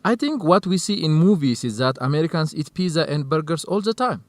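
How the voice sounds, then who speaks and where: An actor plays a fair-minded, optimistic man in a radio drama for language learners. His voice is somewhat high and very animated, with an earnest, polite manner. It is recorded without room echo. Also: a little fast, lightly acted. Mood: interested. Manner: earnest, conciliatory. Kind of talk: educational radio drama dialogue.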